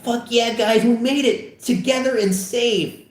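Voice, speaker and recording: A man doing a squeaky giddy teenage boy. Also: measured pace. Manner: shrill, overexcited. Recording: roomy echo.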